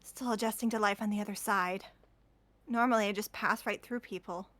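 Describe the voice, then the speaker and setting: A woman's voice: mid-range voice, fairly even intonation, a little fast, fairly bright tone, lightly acted. A woman voicing a teasing, wry teenage girl who is fond of her friend, in a video game fandub. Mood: tender, wry.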